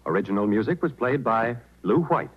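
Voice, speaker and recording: A man's voice: medium pitch, animated, a little fast, husky. A man announcing scripted credits as a 1940s radio announcer. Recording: muffled 1940s radio recording.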